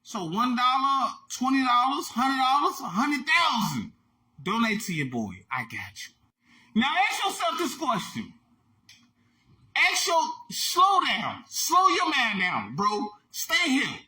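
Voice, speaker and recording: A man hosting a short online video, giving emphatic, repetitive commentary that keeps bursting into shouts. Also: extremely high pitch, wildly swooping pitch, slow. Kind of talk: animated social media commentary.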